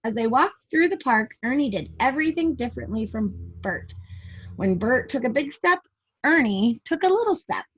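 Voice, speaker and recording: High-voiced woman reading to preschoolers, muffled sound. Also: animated, normal speed, fairly bright tone, slight background noise. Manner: addresses young listeners with questions and asides. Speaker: preschool teacher reading aloud. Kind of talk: read-aloud storytelling for young children with chatty asides. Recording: dull lo-fi home recording.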